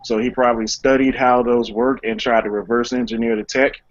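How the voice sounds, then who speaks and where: A man's voice: medium pitch, level tone, brisk, dry recording. A man explaining things informally as a panelist in a group discussion. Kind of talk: casual explanatory chat. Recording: dull lo-fi recording.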